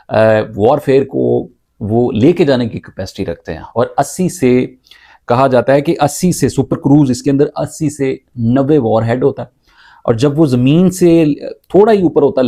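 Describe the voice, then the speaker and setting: A man with a soft, mellow voice at a medium pitch gives off-the-cuff news analysis. He explains step by step, haltingly, often doubling back to repeat a word. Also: gravelly. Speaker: news commentator. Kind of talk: unscripted news analysis commentary.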